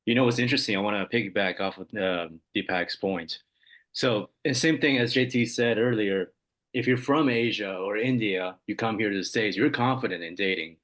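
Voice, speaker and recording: A man's voice: lowish voice, moderate pace, fairly bright tone. A man on a dating coach panel, talking in an assured way and building on others' points. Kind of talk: casual panel discussion.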